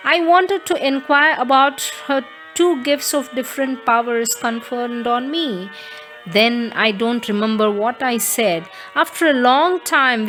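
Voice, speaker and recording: Female audiobook reader for spiritual texts, slightly high pitch, deliberate and measured delivery, soft music bed. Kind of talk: devotional audiobook reading of letters.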